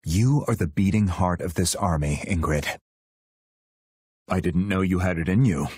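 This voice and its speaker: A man voicing a stoic, loyal royal guardian in a video game, husky, solemn, terse and formal. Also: sits low, measured pace, a little mellow, no echo, studio quality. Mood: sincere, respectful. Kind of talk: video game battle voice lines.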